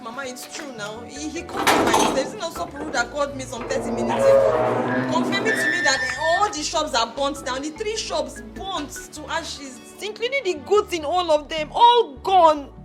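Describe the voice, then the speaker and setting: A woman's voice: sits high, sing-song, normal speed, over loud music. An actress playing a distraught young woman, wailing and pleading. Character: distraught young woman, grieving fiancé's loss. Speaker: daughter.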